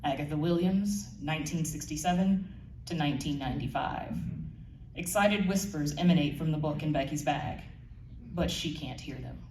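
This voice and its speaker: A woman's voice: deep voice, moderate pace, darkish tone. A woman giving a live scripted narration of her audio drama, heard in a roomy space away from the mic. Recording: echoey room, distant mic, faint noise.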